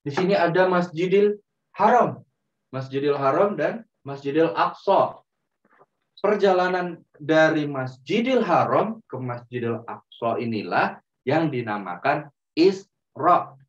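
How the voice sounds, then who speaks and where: A man telling a story to children in a gentle, drawn-out way, often turning to them as friends. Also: fairly high pitch, animated. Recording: roomy, distant, dull sound.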